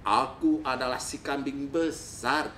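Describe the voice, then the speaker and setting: A man's voice: medium pitch, steady tone, measured pace, a bit bright, recorded from a distance, a little hiss or hum, pitched above the performer's own voice, lightly acted. Eldest folktale goat, played by a man: bold, firm, raised declaiming voice, echoey room. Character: brave bold big eldest billy goat.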